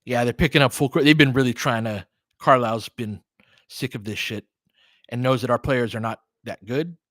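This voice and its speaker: A man's voice: medium pitch, fairly bright tone, dry recording, studio quality. A man giving casual live basketball play-by-play, chatting conversationally as a partisan fan in short, clipped phrases. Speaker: basketball play-by-play host and commentator.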